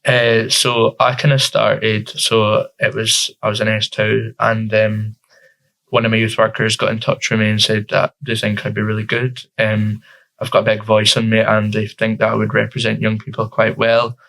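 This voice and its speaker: A man's voice: lowish voice, completely flat delivery, normal speed, gravelly, fairly bright tone, rough recording. A teenage boy with a Scottish accent, answering interview questions informally as a youth councillor, with frequent fillers. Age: teens.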